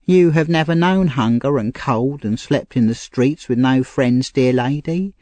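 A man's voice: a little slow, dark tone, no echo, close to an everyday voice. A man voicing a frightened, tearful young woman thief in a storybook reading, pleading and urgent. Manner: pleading, urgent and high-strung. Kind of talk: audiobook character reading.

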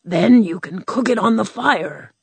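Woman doing a gravelly, fearful cowardly lion. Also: medium pitch, lively intonation, measured pace, dry recording, pitched above the performer's own voice, heavily acted. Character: timid male cowardly lion, big and fearful. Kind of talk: storybook reading.

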